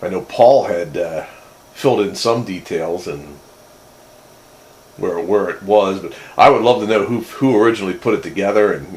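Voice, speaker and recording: Man, radio hobby host, lowish voice, casual rambling talk, repeats words. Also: low-quality recording. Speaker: host of an amateur radio roundup.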